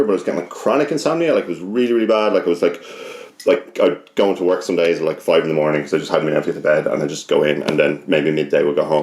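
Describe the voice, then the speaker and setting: A man's voice: low voice, a little mellow. An Irish man telling a personal story as a podcast guest, casual, self-deprecating and sweary, rambling with plenty of hesitation. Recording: roomy, echoing podcast recording.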